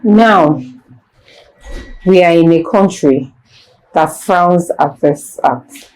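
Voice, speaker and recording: A woman's voice: fairly low pitch, very slow, a little mellow, poor audio. A woman with a Nigerian accent hosting a talk show, asking questions in a playful, teasing way. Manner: playful, teasing, slips into pidgin. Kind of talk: casual interview.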